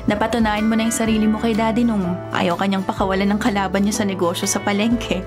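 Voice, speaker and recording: A woman's voice: mid-range voice, flat delivery, fast, close to an everyday voice. A young woman with a Filipino accent playing an earnest, devoted daughter in an emotional radio drama. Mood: tender, playful. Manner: emotional, pleading and tender. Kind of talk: Tagalog radio drama acting. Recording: radio drama with music underneath.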